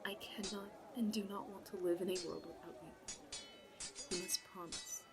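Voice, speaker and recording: Slow-spoken anxious young princess, acted by a woman. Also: medium pitch, steady tone, over music. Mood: panicked, desperate pleading. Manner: formal regal phrasing, hesitant, breathy pleading. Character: young princess bride, anxious, lovelorn, dutiful. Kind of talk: scripted romantic audio roleplay, ASMR.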